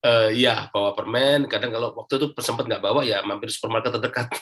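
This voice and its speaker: A man's voice: mid-range voice, fairly even intonation, fast, a bit bright. A man with an Indonesian accent, an interview guest giving a casual personal account step by step.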